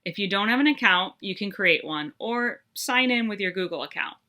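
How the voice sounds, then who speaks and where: A woman presenting a scripted tutorial in a clear, upbeat, instructional manner. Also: mid-range voice, lively intonation, moderate pace, bright, forceful tone.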